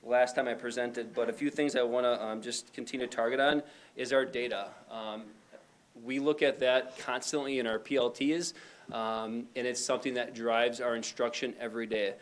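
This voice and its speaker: A man, a high school principal, reporting to a school board in a loose, conversational way with frequent fillers. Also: steady tone, normal speed. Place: meeting room.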